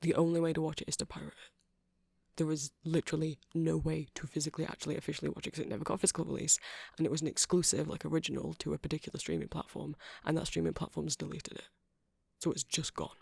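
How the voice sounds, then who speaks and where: A soft-spoken man doing a rambling ASMR roleplay as a shopkeeper, hesitant and apologetic in manner. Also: a little high, little pitch movement, fast, vocal fry, husky, no room sound. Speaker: character performer playing a shopkeeper.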